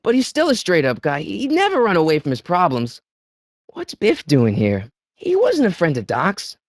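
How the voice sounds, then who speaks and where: A man's voice: huge pitch swings, normal speed, no room sound, studio quality, full character voice. A young man with an American accent voicing an earnest, nervous teenage boy in a video game, youthful in tone and hesitant, slangy in delivery. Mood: earnest, worried. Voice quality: youthful, high-pitched for a man. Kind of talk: video game character dialogue.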